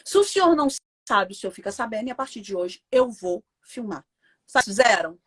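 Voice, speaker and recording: A woman's voice: fairly high pitch, very animated, brisk, husky. A Brazilian woman with a Brazilian Portuguese accent, a mother telling an interviewer her story in a casual, emphatic, indignant way.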